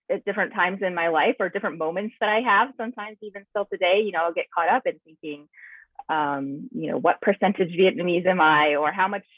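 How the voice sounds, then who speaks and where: Woman, interview guest, thoughtful, searching for words, breathy voice, phone-like sound. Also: on the low side, dry recording. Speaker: naturalist and author, interview guest. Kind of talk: reflective interview answers. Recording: tinny phone-like line.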